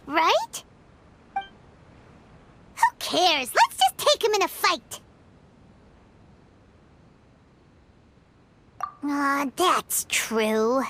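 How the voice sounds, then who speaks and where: Squeaky, excitable little fairy girl. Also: slow, a little hiss or hum, full character voice. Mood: defiant. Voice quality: squeaky, childlike. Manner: refers to self in third person, exclaiming. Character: Paimon, tiny childlike floating fairy companion, excitable and indignant. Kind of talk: anime game voice acting.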